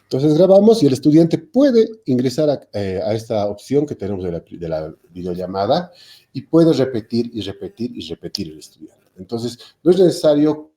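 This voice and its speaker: A man's voice: mid-range voice, big pitch swings, normal speed, warm tone. A man, a technical institute lecturer explaining online teaching in a panel talk, hesitating with frequent fillers and self-corrections over a video call.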